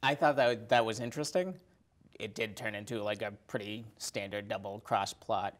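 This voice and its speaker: A man talking casually about a film as a podcast guest, haltingly and with many uses of "like". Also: on the low side. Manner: hesitant, halting, often repeats "like".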